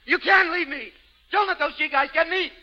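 Cowardly gangster sidekick, old radio show, really high frantic voice, piercing, muffled recording. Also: male voice, normal speed, sharp tone, heavily acted. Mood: terrified pleading. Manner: frantic, high-strung, pleading. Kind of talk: 1930s radio melodrama acting.

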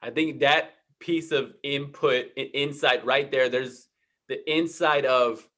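A man hosting an interview, responding in a warm, affirming, reflective way and often stumbling over repeated words. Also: mid-range voice, fairly even intonation, takes it slowly. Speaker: interview host. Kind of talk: conversational interview.

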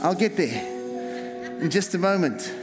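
A South African pastor preaching a fervent sermon, repeating words and calling on the congregation to respond. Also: male voice, sits high, lively intonation, measured pace. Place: church hall. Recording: reverberant hall with music behind. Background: music.

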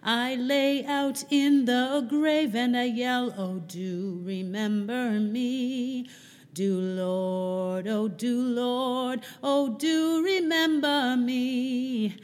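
A woman gospel singer delivering a spiritual in a slow, sung style. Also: on the high side, fairly even intonation. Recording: long reverberant hall, faint music.

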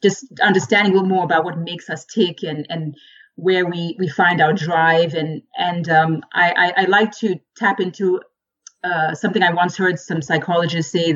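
A woman in her forties, originally from Ghana, answers interview questions in a thoughtful, unhurried way. Her voice sits very low for a woman, and she often restarts a word as she gathers her thoughts. The audio sounds dull and lo-fi, like a remote connection. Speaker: guest, professional speaker and coach.

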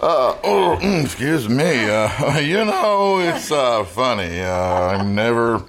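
An actor playing a tipsy, affable man who rambles haltingly in an old-time radio play. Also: medium pitch, very animated, fairly bright tone, full character voice. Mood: tipsy, rambling. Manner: halting, slurry drunken rambling. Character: tipsy, rambling, affable bourbon-drinking writer.

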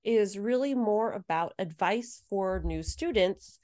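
A woman's voice: mid-range voice, unhurried, darkish tone. A woman co-hosting a podcast, chatting casually and laughing easily. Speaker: podcast co-host.

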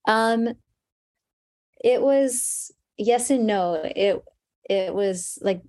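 A woman musician talking in an interview, soft-spoken and thoughtful with many fillers. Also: mid-range voice, very slow, no echo, clean studio sound. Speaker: musician guest. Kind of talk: casual interview.

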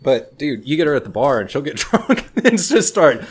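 A man's voice: fairly high pitch, sing-song, normal speed, slightly rough. A man with a light Texan accent telling stories in casual chat among friends, swearing and laughing easily. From Texas. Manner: laid-back storytelling, lots of swearing and laughing.

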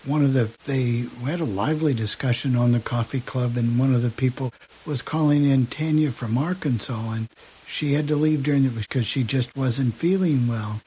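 A man hosting talk radio, speaking earnestly and a little haltingly. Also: mid-range voice, mellow, rough recording. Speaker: radio show host. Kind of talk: casual talk radio announcement. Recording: slight hiss.

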